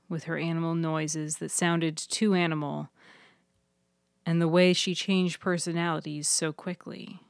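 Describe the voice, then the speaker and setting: Low-voiced woman narrating fiction. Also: dry recording, clean studio sound. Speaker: author and narrator of a fiction podcast. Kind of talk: scripted audiobook-style story narration.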